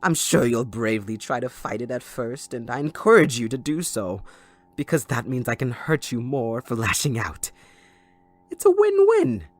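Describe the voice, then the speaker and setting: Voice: mid-range voice, huge pitch swings, moderate pace. A performer voicing a gleeful, sadistic supervillain kidnapper in an audio roleplay, theatrical, mocking and possessive toward a captive listener. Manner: theatrical, mocking, giggling, swooping between croon and shriek.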